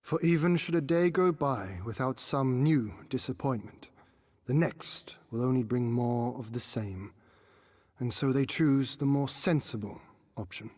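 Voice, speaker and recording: An actor playing a young exiled prince in a video game, earnest and stoic, with formal archaic diction and a measured, oratorical delivery. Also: male voice, mid-range voice, a little mellow, long reverb tail, natural voice. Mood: somber, resigned. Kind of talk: cinematic video game voice acting.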